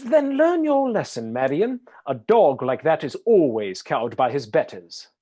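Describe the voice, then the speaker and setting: A pompous deep-voiced earl. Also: female voice, very animated, a little slow, warm tone, full character voice. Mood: haughty. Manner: haughty, lordly and condescending. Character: pompous arrogant male earl, middle-aged.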